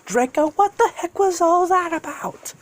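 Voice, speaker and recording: Man playing an exasperated, high-pitched teenage boy hero. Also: lively intonation, measured pace, no room sound. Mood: indignant. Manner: incredulous, protesting. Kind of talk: amateur storytelling voice.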